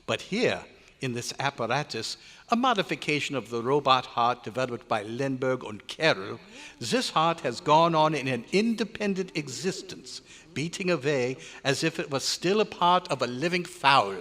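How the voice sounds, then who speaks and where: A man's voice: medium pitch, lively intonation, moderate pace, a bit bright, close to an everyday voice. A man playing an irritable, pompous German scientist in an old-time radio drama, declaiming with exasperation and a German accent. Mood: lecturing. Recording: echoey hotel hall live performance.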